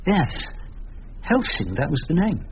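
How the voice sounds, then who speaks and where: Expressive, disgruntled defendant. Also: male voice, fairly high pitch, unhurried, exaggerated voice. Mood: thoughtful. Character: disgruntled male civil servant, a worried defendant. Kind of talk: radio drama acting. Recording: dull radio recording with background noise.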